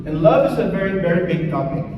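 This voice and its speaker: A man preaching a sermon as pastor. He speaks slowly and emphatically, with long pauses, and lets his lines fall away at the end. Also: high voice, expressive, dull audio. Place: church hall. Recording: echoey hall, voice from far off, faint noise.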